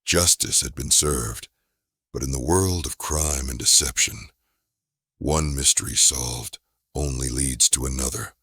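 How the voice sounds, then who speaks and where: A man's voice: rumbling low, unhurried, sharp tone, breathy edge, dry recording, studio quality, full character voice. A man playing a grave, dramatic narrator of an audio murder mystery. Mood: ominous. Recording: rain noise under the voice. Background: falling rain.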